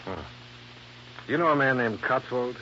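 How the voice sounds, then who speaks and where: Noisy muffled vintage radio, tough American detective, hard-boiled, dry, fairly low. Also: male voice, animated, measured pace, sharp tone. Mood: dry, skeptical. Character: tough, dry American insurance investigator narrating his case. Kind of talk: hard-boiled old-time radio narration and dialogue. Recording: muffled, hissy old radio recording.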